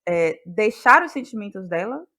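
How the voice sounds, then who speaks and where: A woman's voice: mid-range voice, animated, normal speed, sharp tone. Female interview guest, reflective, searching delivery in an ordinary middle register. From Bahia, Brazil. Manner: searching, thoughtful, repeats words as ideas form. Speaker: interviewed guest, playwright and actress. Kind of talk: reflective interview talk about creative process.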